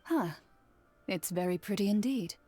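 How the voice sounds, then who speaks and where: A woman's voice: medium pitch, normal speed, natural voice. A woman playing a poised, self-possessed professional in a video game, polite and composed. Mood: appraising. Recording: faint game music behind.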